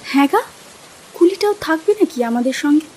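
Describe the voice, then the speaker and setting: An actress playing an affectionate young wife, tender and expressive, dreamily uneasy, in a dramatized audio story. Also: female voice, high voice, moderate pace, a little hiss or hum, poor audio. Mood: happy, coy. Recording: music and rain behind.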